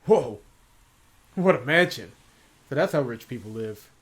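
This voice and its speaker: A man's voice: mid-range voice, lively intonation, a little slow, close to an everyday voice. A man voicing a laid-back, grumbling male detective in a visual novel, informal and wry. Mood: impressed.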